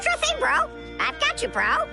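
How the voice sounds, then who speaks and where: Tiny bratty game dragon, super squeaky and swoopy, music behind. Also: female voice, wildly swooping pitch, a little slow, vocal fry, exaggerated voice. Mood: eager. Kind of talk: video game character acting.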